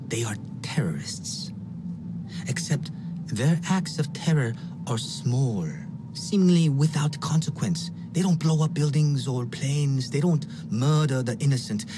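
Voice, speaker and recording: An actor playing a cryptic, rambling game character who stammers and drops into whispers as he explains a grand scheme, with music behind him. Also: medium pitch. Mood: intense. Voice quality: halting, stammering, then whispery and intense. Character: cryptic, rambling male conspirator explaining chaos theory. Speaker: game character briefing the player. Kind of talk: voiced game cutscene.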